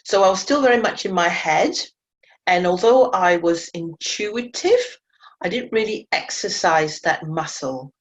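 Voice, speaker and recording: A woman's voice: on the low side, expressive, a little slow. A woman teaching animal communication in a webinar, speaking earnestly and reflectively with frequent self-corrections; English is not her first language. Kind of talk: webinar talk to learners. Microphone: video call mic. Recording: dull lo-fi webinar audio.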